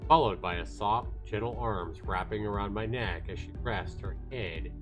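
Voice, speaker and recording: Male streamer, lowish voice, expressive reader of visual novel narration, faint music underneath. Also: normal speed. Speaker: livestreamer reading a visual novel aloud. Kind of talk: expressive story narration with asides. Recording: game music behind the voice.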